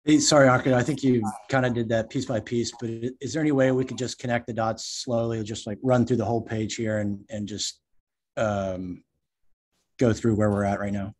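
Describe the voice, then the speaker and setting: A man's voice: medium pitch, steady tone, fairly quick, a little mellow. A man taking part as a student in an online class, asking a question politely and hesitantly.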